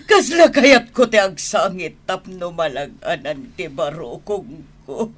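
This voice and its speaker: A slow, melodramatic female voice, a wounded mother who feels nobody values her. She draws out her plaintive words in radio-serial style, steeped in self-pity. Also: on the low side, expressive, a little hiss or hum. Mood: tearful reproach. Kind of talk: Ilocano radio drama acting.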